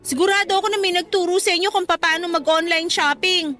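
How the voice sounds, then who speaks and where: An actress playing an outspoken, practical grown child in a Filipino radio drama, frank and pleading but respectfully worded. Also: female voice, very high voice, a little fast, pushed and bright. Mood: skeptical, exasperated. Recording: music playing under the dialogue.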